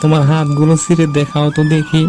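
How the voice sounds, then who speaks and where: A man's voice: a little high, completely flat delivery, fast talker, dark tone, faint music behind, natural voice. A man voicing a cheeky, fearless schoolboy in a cartoon, talking in a defiant, dismissive way. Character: cheeky fearless schoolboy who is bad at maths. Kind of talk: cartoon character dialogue.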